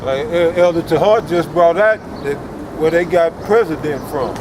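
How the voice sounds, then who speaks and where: Male companion to preacher, slightly high voice, casual supporter; noisy street recording. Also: normal speed. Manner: agreeing backchannel, casual. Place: city street outdoors. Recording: street traffic noise.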